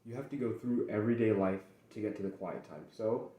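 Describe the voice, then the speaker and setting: A young man in casual solo chat as host, rambling and halting with many restarts, mentions speaking English natively. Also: fairly low pitch, dark tone. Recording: echoey room.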